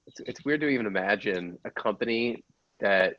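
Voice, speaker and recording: A man with a fairly low voice talks in a loose, rambling, informal way. The sound is dry and somewhat dull, like lo-fi call audio. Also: expressive, moderate pace, a bit bright, no echo. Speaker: podcast cast member. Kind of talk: casual chat.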